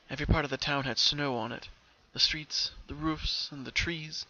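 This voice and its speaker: A narrator giving an expressive, careful dramatic reading of a story, as in an audiobook recorded at home. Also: mid-range voice, highs cut off.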